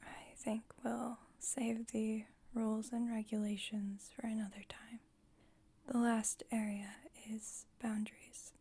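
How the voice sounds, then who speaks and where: A woman playing a calm, formal, caring vampire coven leader in a whispered ASMR roleplay, speaking in a gentle, measured, breathy whisper. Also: a little high, exaggerated voice. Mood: gentle, reassuring.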